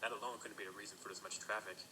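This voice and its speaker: A man's voice: lowish voice, fairly even intonation, racing, background noise, lightly acted. A man playing a courteous but guarded young stallion in an audio drama, dry and understated, with music behind him. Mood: musing, weary. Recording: music behind, played in reaction video.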